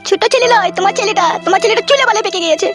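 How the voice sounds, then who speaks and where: A woman's voice: very high-pitched, very fast. A woman voicing a scheming, quarrelsome village mother-in-law in a comedy cartoon, scolding and combative. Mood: complaining, accusing. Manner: scolding, combative, foul-mouthed. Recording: music playing behind.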